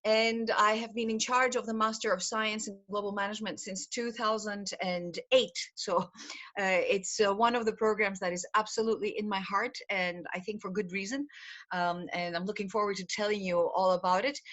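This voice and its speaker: A woman's voice: medium pitch, steady tone, normal speed, a bit bright. A middle-aged woman from Greece, a program director, presenting an online information session from home over a video call. She talks in a chatty way, with many fillers and laughs.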